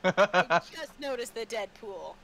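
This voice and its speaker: A woman narrating a tabletop roleplaying game casually as game master, with halting, stammered starts. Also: mid-range voice, animated, crowd noise. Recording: clearly noisy background.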